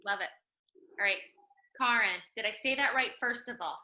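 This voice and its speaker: A woman leading a team video call, introducing each member with brisk, encouraging replies. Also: a little high, sharp tone. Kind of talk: casual group call. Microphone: laptop video call.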